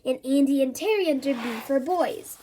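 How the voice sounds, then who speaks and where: A woman's voice: high voice, normal speed, warm tone, natural voice. A woman reading a children's story and voicing a forthright young girl who rescues animals. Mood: determined. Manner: emphatic, spirited.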